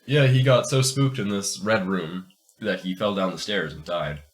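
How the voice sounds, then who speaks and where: Very low-voiced man, podcast reader. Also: normal speed. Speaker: podcast co-host reading a story aloud. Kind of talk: casual chat mixed with dramatic storybook reading.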